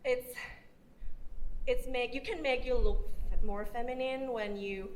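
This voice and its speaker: A woman from Thailand with a Thai accent, a fitness athlete explaining casually in halting, hesitant phrases. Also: fairly high pitch, fairly even intonation, a little hiss or hum. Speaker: fitness athlete being interviewed.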